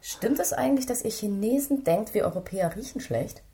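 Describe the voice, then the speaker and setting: A woman's voice: a little high, lively intonation, fairly quick, dark tone. A woman playing an experienced police detective in a radio crime drama, dry and matter-of-fact, a teasing but stern mentor.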